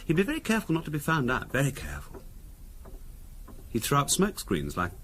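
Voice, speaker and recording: An actor playing a calm, quietly insinuating middle-class husband in a 1970s radio drama, musing aloud in a deliberate, conversational way. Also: male voice, a little high. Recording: noisy 1970s radio recording.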